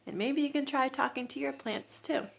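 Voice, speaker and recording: A woman reading a children's picture book aloud as a storyteller, with expressive, emphatic delivery. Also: sits high, normal speed, vocal fry.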